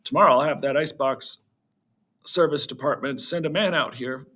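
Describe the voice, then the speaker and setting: A man's voice: medium pitch, normal speed, sharp tone. A man voicing an eager, kindly father who likes to explain things, in a storybook reading. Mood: pleased.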